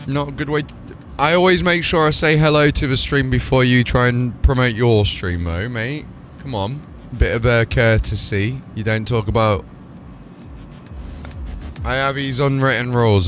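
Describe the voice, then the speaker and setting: A man talks in an informal, chatty way, as if to his livestream viewers while gaming. His voice sits at an ordinary pitch, with clear music playing behind it. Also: normal speed, fairly bright tone. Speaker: live streamer. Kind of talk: casual gaming livestream chat.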